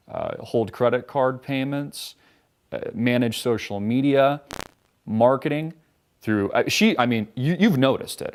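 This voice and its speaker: A man rants in combative, profane political commentary, dripping with sarcasm. His pitch swings in a lively way around a middle register.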